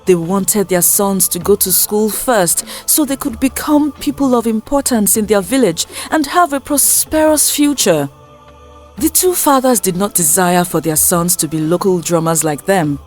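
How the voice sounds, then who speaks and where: A woman's voice: medium pitch, darkish tone. Woman narrating a folktale over music. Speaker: storyteller.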